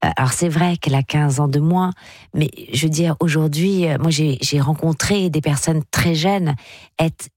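A woman with a deep, low voice hosts a late-night radio call-in show. She is chatty and talks things through with her callers. Her voice is recorded dry and close on a studio microphone. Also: normal speed, darkish tone, dry recording.

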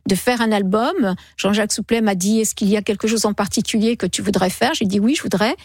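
A woman in her sixties, a singer guest on a radio show, telling stories in a lively, chatty way. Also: mid-range voice, fairly quick, slightly rough, dry recording. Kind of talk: casual radio interview. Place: radio studio. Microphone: studio microphone.

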